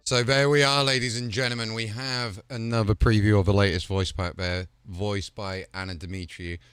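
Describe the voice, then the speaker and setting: A male host talks casually and with enthusiasm, leaning on fillers as he goes. His voice sits a little low. The sound is dry and close. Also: fairly even intonation, moderate pace, a bit bright, smooth, dry recording, soft background music. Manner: chatty and enthusiastic, with filler like "kinda". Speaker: host showcasing game voice packs.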